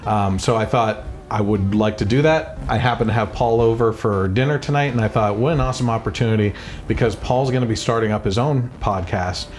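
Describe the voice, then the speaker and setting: A man hosting a faith podcast, telling his personal story in an earnest, casual way with quick bursts and restarts. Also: on the low side, a little mellow. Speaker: podcast host.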